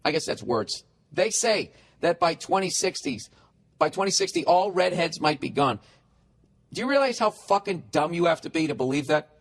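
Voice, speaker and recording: 40s guy ranting on his podcast, high voice, swears nonstop, sarcastic. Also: expressive, moderate pace. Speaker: podcast host. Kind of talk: solo comedic rant.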